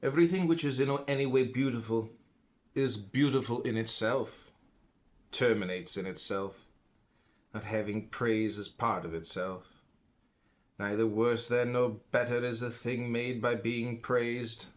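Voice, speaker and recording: A man's voice: mid-range voice, steady tone, a little slow. An American man reading classical philosophy aloud as an audiobook, in a solemn, emphatic, declamatory manner. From United States. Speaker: audiobook reader.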